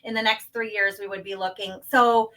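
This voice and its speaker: Bright-voiced woman explaining. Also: mid-range voice, fairly even intonation, brisk, low-quality recording. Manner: hesitant, full of fillers, explaining step by step. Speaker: guest, home financing expert. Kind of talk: casual podcast interview.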